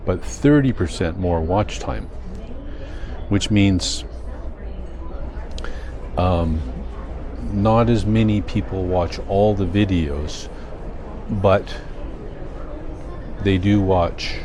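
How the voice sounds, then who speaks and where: An older man with a Canadian accent, chatting casually in a rambling vlog, thinking aloud in a halting, self-correcting way. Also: sits low, noisy background. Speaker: vlogger.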